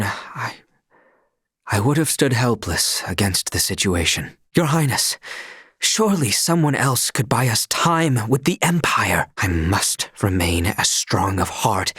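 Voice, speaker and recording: An actor voicing an earnest, stern young knight in a video game, with formal, archaic and stiffly proper diction. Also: male voice, fairly low pitch, sing-song, measured pace, a bit bright, husky, no echo, studio quality, heavily acted. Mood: earnest, devoted.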